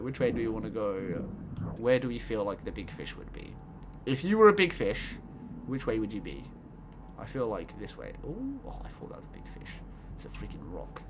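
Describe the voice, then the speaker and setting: A young man doing let's-play commentary, giggling often, croaky today, mumbling to himself one moment and shouting with excitement the next. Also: medium pitch, noisy background. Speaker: gaming YouTuber.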